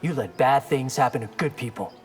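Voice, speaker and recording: A man's voice: medium pitch, brisk, soft background music, lightly acted. A man playing a wry, self-assured young crime fighter in a fighting game, dry and defiant, in cavernous reverb. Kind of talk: fighting game dialogue acting.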